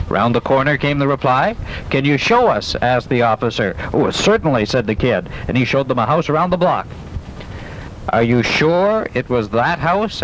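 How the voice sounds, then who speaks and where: A man reading news stories and announcements in the style of a 1970s radio newscaster. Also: medium pitch. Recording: noisy old 1970s radio aircheck.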